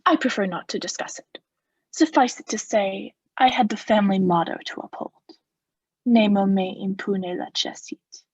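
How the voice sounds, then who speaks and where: A young woman playing a coldly vengeful noble who feigns friendship, in a measured, formal, insinuating theatrical radio-play style. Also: mid-range voice, breathy edge, dry recording, highs cut off. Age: young adult. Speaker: student actor.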